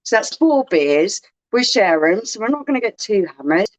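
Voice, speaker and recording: A woman chatting casually on a home livestream, quick and laughing often. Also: medium pitch, big pitch swings. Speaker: co-host of a beer livestream. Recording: dull lo-fi livestream audio.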